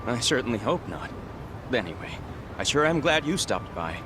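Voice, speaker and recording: A man's voice: mid-range voice, big pitch swings, normal speed, close to an everyday voice. A man playing a polite, grateful restaurant owner in an English game dub, courteous and mild in manner. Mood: concerned. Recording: outdoor street noise behind.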